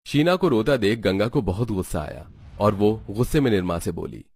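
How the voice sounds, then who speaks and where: A man narrating a cartoon moral story in a steady, even storytelling voice. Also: on the low side, brisk, husky.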